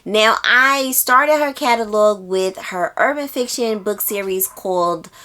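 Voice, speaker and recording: A woman book vlogger talking casually and informally to viewers, using slang and repeating words for emphasis in an expressive way. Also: medium pitch, a little slow.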